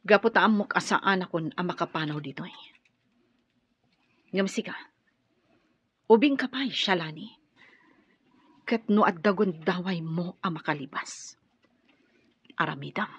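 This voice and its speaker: A woman's voice: fairly low pitch, a little slow, long pauses, fairly bright tone, husky. A woman playing a grim older rebel comrade who earnestly admonishes a younger woman in an Ilocano radio drama. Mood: worried, grave. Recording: dull, roomy, lo-fi radio recording.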